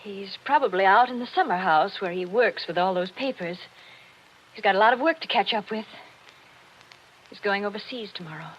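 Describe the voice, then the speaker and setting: A woman's voice: medium pitch, lively intonation, moderate pace, fairly bright tone, a little hiss or hum, natural voice. A woman playing a brittle, troubled wife. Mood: wistful. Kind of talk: 1940s radio acting. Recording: dull old radio recording.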